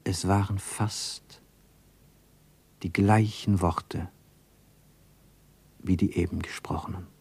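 A man's voice: low-pitched, steady tone, takes it slowly, pauses often, a little mellow, slightly rough. A man narrating a scripted literary radio play as a solemn, dramatic storyteller. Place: dry radio studio.

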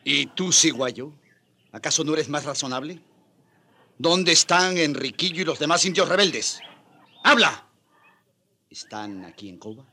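An actor in a radio drama playing a stern, pious Spanish chaplain with a husky voice and a preachy, urging manner. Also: male voice, a little high, expressive, a little slow, pauses often, sharp tone, full character voice. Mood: demanding. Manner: preachy, urgent.

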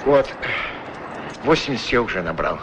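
A man's voice: lowish voice, very animated, moderate pace. A man acting a humble, chatty, deferential street shoeshine man in a 1960s radio play. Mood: deferential, pleading. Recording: noisy old radio drama recording.

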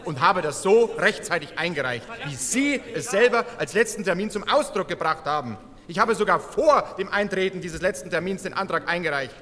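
Combative male parliament member, emphatic and formal, bright forceful tone, hall reverb. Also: high voice, expressive, brisk. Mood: indignant, insistent. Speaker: actor in a documentary radio play. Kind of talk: dramatised parliamentary debate.

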